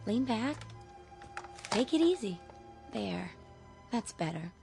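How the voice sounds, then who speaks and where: A woman's voice: a little high, big pitch swings, takes it slowly, gravelly, heavily acted. Woman playing a forward, teasing flirt over music. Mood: flirty, coaxing. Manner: coaxing, teasing. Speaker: actor in a radio play. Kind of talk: radio drama acting.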